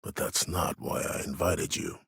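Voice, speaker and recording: An aged, disgraced war admiral for a video game. His voice is extremely low and husky, recorded close and dry. He speaks formally and with measured self-assurance, proud and cunning, with a quiet menace under the courtesy. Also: fairly even intonation, no room sound, exaggerated voice. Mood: defiant, measured. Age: older. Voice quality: husky, very deep. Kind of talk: video game character dialogue.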